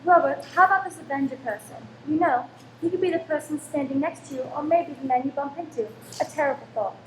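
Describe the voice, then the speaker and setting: A high, fretful voice of a careworn Victorian landlady acted by a woman in a radio play. She is anxious and sharp-tongued, complaining dramatically. The room echoes, with faint noise behind her. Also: quick pace, natural voice. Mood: gossipy, morbid. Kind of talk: old-time radio play acting. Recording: roomy stage recording, faint background noise.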